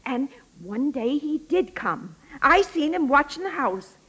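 A woman's voice: sits high, sing-song, measured pace, a bit bright, exaggerated voice. A woman playing a proud, gossipy old landlady and faded showgirl in a radio drama, with folksy, rambling speech. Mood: gossipy, agitated. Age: older.

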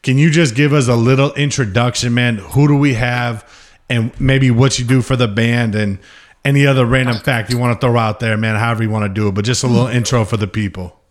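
A man hosting a podcast, interviewing a band in a relaxed, casual way and calling them "dude" and "man". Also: medium pitch, a little fast. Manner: laid-back, says "dude" and "man" a lot. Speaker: podcast host. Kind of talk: casual interview over a video call.

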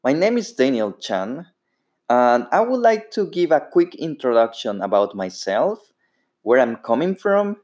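A man's voice: mid-range voice, big pitch swings, unhurried, darkish tone. A man in his thirties from Ecuador with a Spanish accent, hosting a solo podcast and speaking unhurriedly with frequent fillers and restarts. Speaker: podcast host.

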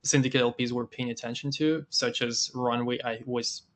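A man hosts an interview in a flat, even voice. He is losing his voice and coughs now and then. His friendly questions come in quick bursts. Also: medium pitch. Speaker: interview host. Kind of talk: casual interview.

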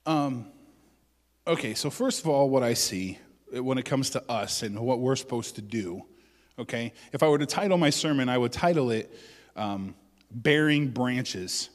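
A male pastor giving a conversational sermon, with joking asides and loud bursts of emphasis. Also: medium pitch, expressive, measured pace, in a large hall.

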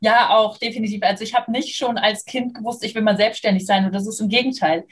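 A woman's voice: mid-range voice, fairly even intonation, fast talker, slightly rough. A woman in her thirties from southern Germany, talking casually as an interview guest. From Munich, southern Germany. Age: 30s. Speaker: interview guest, freelance trainer and moderator.

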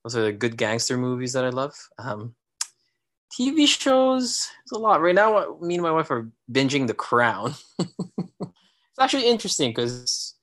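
Brief: thirties male guest, laid-back storyteller, lots of fillers, mid pitch. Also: moderate pace. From Philippines. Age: 30s. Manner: relaxed and reminiscing, with fillers. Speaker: podcast guest. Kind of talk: casual chat.

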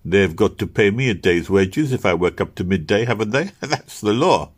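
A man's voice: on the low side, expressive, moderate pace, husky. A man voicing a cheeky young male waiter who boasts breezily about his scheme. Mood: boastful.

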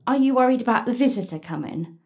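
An actress playing a caring, plain-spoken medieval maidservant in an audio drama, solicitous and gently bossy. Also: female voice, fairly high pitch, lively intonation, fast. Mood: concerned.

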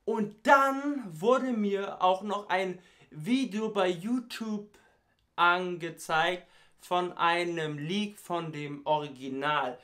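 A man's voice: high-pitched, slow, a bit bright. A young man, a YouTuber, chatting casually in a vlog, tired and sleepless, with a stutter and heavy youth slang. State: tired, has not slept. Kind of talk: casual vlog chat with rap parody bits.

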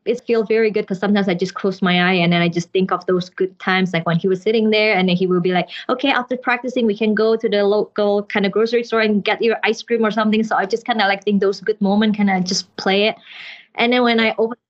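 A woman's voice: medium pitch, steady tone, quick pace, dull audio. A woman with an Indonesian accent talking casually and informally as an interview guest, thinking aloud in a rambling way. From Jakarta, Indonesia.

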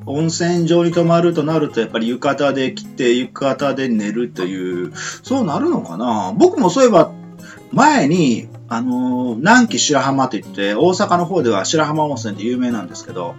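A man hosts a loose, chatty radio show. His intonation leaps up and down wildly as he tells things like little acted scenes, with soft guitar music underneath. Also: mid-range voice. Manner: animated storyteller, acts out imagined reactions. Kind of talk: casual chat. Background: background guitar music.

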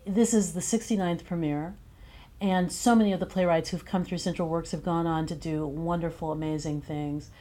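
A woman's voice: fairly low pitch, fairly even intonation, moderate pace, mellow. A woman speaking casually as a guest playwright in an interview.

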